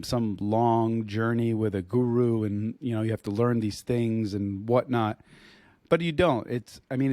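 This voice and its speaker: A man co-hosting a podcast in casual chat, his voice hoarse as he loses it, talking in a rambling way with restarts and throat clearing. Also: fairly low pitch, darkish tone, no echo, clean studio sound. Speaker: podcast co-host.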